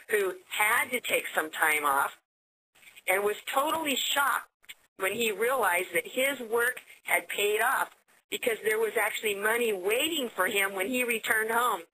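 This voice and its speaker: Bright upbeat American woman hosting a call. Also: medium pitch, slight background noise, low-quality recording. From United States. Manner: upbeat, repeats words as she talks. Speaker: host of a business conference call. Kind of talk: enthusiastic sales pitch.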